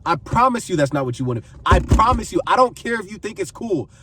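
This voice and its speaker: A man's voice: high voice, big pitch swings, quick pace, distant mic, noisy background. A man in his twenties giving earnest advice to camera, pleading and repeating phrases for emphasis. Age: 20s. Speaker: vlogger giving life advice.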